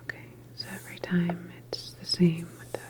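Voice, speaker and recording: A woman performing an ASMR medical roleplay as a calm government doctor, speaking in a deliberate, reassuring clinical manner. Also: lowish voice. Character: calm female government doctor examining a patient.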